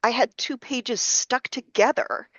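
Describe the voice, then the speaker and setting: A woman's voice: a little high, unhurried, bright, forceful tone, no room sound, dull audio. A woman speaking conversationally as a podcast guest, recalling her experience as an amateur performer. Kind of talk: conversational recollection.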